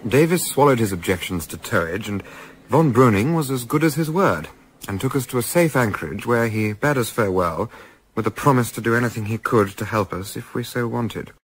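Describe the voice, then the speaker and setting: An actor playing a polite, well-spoken young English gentleman who narrates his adventure in a radio play, with an English accent. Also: medium pitch, animated, a little fast, husky, low-quality recording. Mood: reflective narration. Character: Carruthers, urbane young English gentleman, wry, diarist narrator. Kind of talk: radio play acting and first-person narration.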